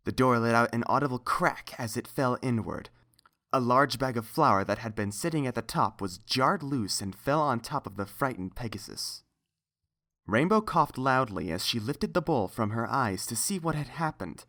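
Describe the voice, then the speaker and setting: A man's voice: medium pitch, animated, moderate pace, pushed and bright. A man reading a scripted story as its narrator.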